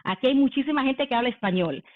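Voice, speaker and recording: A woman's voice: high voice, expressive, fast, a little hiss or hum. Dominican-born woman in her forties. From Dominican Republic. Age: 40s. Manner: warm conversational, frequent "em" and "eh" fillers. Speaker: company executive giving a leadership talk. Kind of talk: personal storytelling talk. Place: video call. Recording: phone-like online meeting audio.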